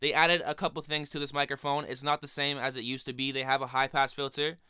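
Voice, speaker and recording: A man's voice: fast, clean tone, no echo. A young man with a cold, talking casually to his viewers while reviewing a microphone in a small home studio, speaking into a studio condenser mic. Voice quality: higher-pitched voice. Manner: relaxed, chatty, addresses viewers directly. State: has a cold. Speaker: music producer reviewing a microphone. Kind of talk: casual product review vlog. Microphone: studio condenser mic on stand in front of him.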